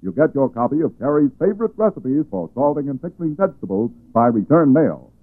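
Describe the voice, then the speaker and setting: A man's voice: mid-range voice, big pitch swings, a little fast. A man announcing sponsor messages in 1940s radio style, with polished, emphatic salesmanship. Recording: tinny, band-limited 1940s radio recording.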